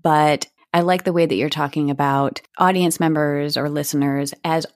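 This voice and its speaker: A woman with a low-pitched voice speaks as an interviewer. She is conversational, reflective and articulate. The sound is very dry, with hardly any room in it. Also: fairly even intonation, normal speed, darkish tone, no room sound. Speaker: podcast host, interviewer.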